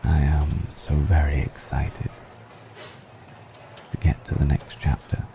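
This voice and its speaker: Man playing a very deep, slow, gravelly vampire. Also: little pitch movement, background noise, full character voice. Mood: intrigued, savoring. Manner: slow, deliberate, musing, with long pauses. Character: suave, ancient aristocratic male vampire, courtly and intrigued. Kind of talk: intimate ASMR roleplay monologue.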